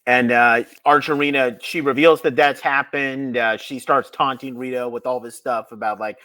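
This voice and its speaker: A man's voice: mid-range voice, steady tone, moderate pace, pushed and bright, low-quality recording. A man co-hosting a podcast, recapping a story in casual conversation. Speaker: podcast co-host recapping an episode. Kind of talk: casual chat, plot recap.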